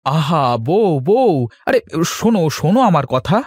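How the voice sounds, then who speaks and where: A man's voice: high voice, big pitch swings, a little slow. A man voicing an exasperated, generous young village husband in a fairy-tale cartoon, scolding and pleading. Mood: reproachful.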